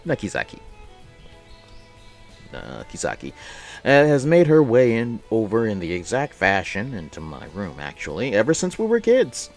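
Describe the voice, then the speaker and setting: A man's voice: on the low side, wildly swooping pitch, normal speed, darkish tone, faint music behind. A man livestreaming, casually chatting with viewers and reading aloud in a rambling, playful way that often repeats phrases. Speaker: live streamer.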